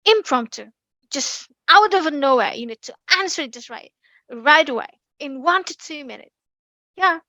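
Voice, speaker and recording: Bright-voiced Indonesian woman presenting. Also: sits high, normal speed, no echo, rough recording. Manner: lively, chatty, frequent ums and restarts. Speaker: community speaking presenter. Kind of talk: online class presentation. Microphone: computer mic on a video call.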